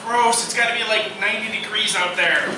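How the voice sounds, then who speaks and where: A man's voice: high-pitched, fairly even intonation, fairly quick, lightly acted. Man playing awkward anxious college student, distant, echoey. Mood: dry, defensive. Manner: awkward, stammering. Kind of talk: live radio theatre acting. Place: live stage performance in echoey room. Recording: very echoey hall, distant mic, noisy.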